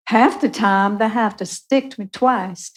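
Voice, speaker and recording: A woman's voice: medium pitch, lightly acted. A woman playing a griping, suspicious patient in a live radio play. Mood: complaining. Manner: drawn-out, griping. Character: bitter, suspicious, complaining patient.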